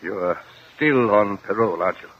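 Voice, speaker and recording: Man acting a husky stern old judge, vintage radio. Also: fairly low pitch, normal speed, close to an everyday voice. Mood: stern, indignant. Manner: commanding, scolding. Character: stern, angry older judge, a strict guardian uncle. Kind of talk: 1950 radio drama acting. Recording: muffled vintage 1950 radio recording.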